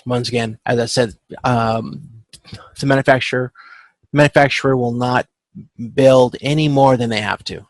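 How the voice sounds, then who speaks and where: A man giving an informal online lecture as an instructor, talking in a halting, self-correcting way and often checking in with the listener. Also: medium pitch, fairly even intonation, no room sound. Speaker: instructor teaching supply chain management. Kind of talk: informal lecture walking through spreadsheet calculations. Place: online video conference with screen share.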